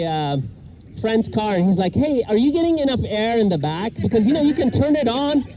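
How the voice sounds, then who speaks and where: A middle-aged man from Iraq doing a self-deprecating stand-up set with deadpan punchlines, outdoors in a park. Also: extremely high pitch, rough recording. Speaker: stand-up comedian. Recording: outdoor noise and passing traffic. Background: park ambience and vehicles.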